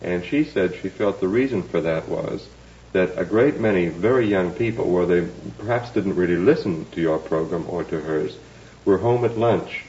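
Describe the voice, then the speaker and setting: A man's voice: sits low, moderate pace, darkish tone. An American man hosting a radio interview in a reminiscing, conversational style. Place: remote interview on location. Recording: dull noisy old radio recording.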